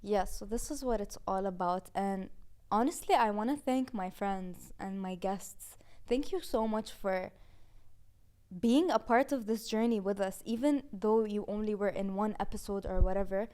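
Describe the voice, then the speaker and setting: Young woman, twenties, podcast host, casual chatty talk, smooth clear voice. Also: medium pitch, normal speed, dark tone. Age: 20s. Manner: casual, chatty with many "like"s and laughs. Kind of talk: heartfelt season-finale reflection.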